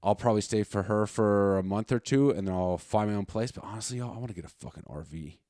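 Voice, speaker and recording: A man's voice: lowish voice, quick pace, dark tone, no echo, clean studio sound. A man in his late thirties hosting a solo podcast, chatting in a casual, rambling, slangy way with plenty of swearing. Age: late 30s. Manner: profane, slangy, informal. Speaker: podcast host.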